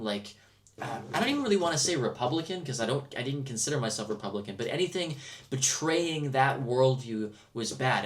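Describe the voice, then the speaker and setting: An American man chatting casually and telling personal anecdotes, halting and circling back over his words. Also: mid-range voice. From Milwaukee, United States. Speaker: podcast host. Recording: noticeable background noise.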